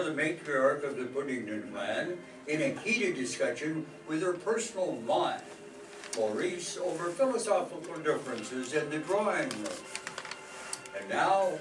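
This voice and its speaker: A pompous radio soap announcer declaims with grand melodrama, acted by a man. His voice is high for a man and comes from a distance in an echoey space. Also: very animated, measured pace, over music. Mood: melodramatic. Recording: distant echoey stage, faint noise.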